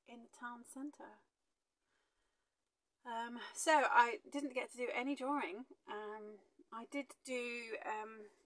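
A woman's voice: on the high side, fairly bright tone, dry recording, poor audio. Woman in her 40s vlogging from her van, chatty, rambly, lots of ums, dry close sound. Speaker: travelling artist vlogger. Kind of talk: casual vlog chat to camera. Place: inside a camper van.

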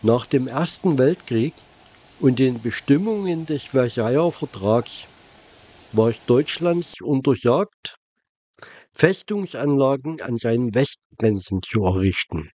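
Mellow, measured male documentary narrator. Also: mid-range voice, expressive, dry recording. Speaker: narrator of a travel documentary vlog. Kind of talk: scripted narration.

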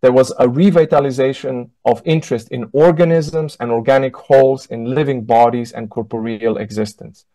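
A man in his thirties whose first language is Slovene, giving an academic lecture over a video call in a measured way, often correcting himself mid-word. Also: medium pitch, fairly even intonation, dark tone, no room sound. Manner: measured, with frequent self-corrections and fillers. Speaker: keynote lecturer. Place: indoor room, on a video call.

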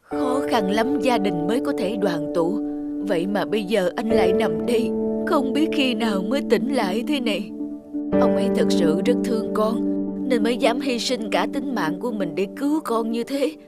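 A woman's voice: fairly high pitch, expressive, moderate pace, natural voice. A woman performs a tender older mother in a cartoon family drama. She sounds worried and pleading, a forgiving peacemaker, with music strongly present behind her. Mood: sorrowful. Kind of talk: animated drama dub.

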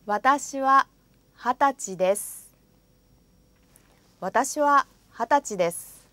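A woman's voice: high voice, animated, sharp tone, dry recording. Woman modeling phrases, said twice. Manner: careful, deliberate pronunciation. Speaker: language course speaker modeling phrases. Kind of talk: slow, repeated example phrases. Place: studio.

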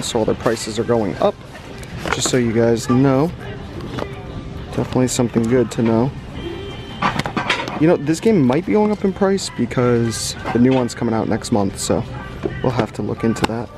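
A man's voice: medium pitch, moderate pace, long pauses, low-quality recording. Chatty man vlogging, music behind him. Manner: chatty, addresses viewers as guys. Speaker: vlog host and reseller on a thrifting trip. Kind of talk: casual running commentary while shopping. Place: thrift stores and parking lots. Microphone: handheld camera mic.

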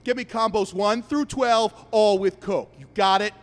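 A man playing a short-tempered, profane fast-food robber in a comedy sketch, ranting and bullying as he makes petty demands. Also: sits high, big-room sound, natural voice. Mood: bossy.

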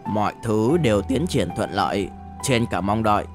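A man's voice: fairly low pitch, expressive, normal speed, over music, lightly acted. A man voicing an approving, commanding male trainer in an audiobook, giving brief praise and instructions.

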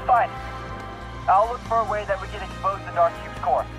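A man voices a helpful teenage tech whiz in a video game. His voice is high and youthful, with music playing clearly behind him. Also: normal speed, close to an everyday voice. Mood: focused, helpful. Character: bright, helpful teenage boy tech genius. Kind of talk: video game cutscene acting.

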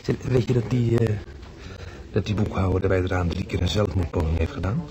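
A gruff, teasing married man talks bluntly from his hospital bed in a radio play. His voice is fairly low, with the bustle of other voices behind him. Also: fairly quick, crowd noise. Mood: complaining. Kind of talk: naturalistic radio drama acting.

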